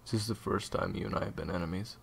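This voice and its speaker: A man's voice: sits low, fast talker, creaky, a little hiss or hum. A man playing a weary, evasive assassin in an audio roleplay, talking in a dry, hesitant, sarcastic way. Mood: wry, tense. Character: sardonic male assassin captor, weary and evasive.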